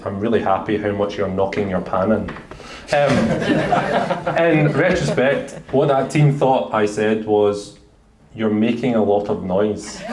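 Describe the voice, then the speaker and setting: A man's voice: lowish voice, a little mellow, echoey room, far from the mic, low-quality recording. A man with a thick Glasgow accent telling a story on a panel, chatty and starting over now and then. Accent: Glaswegian. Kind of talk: casual panel talk.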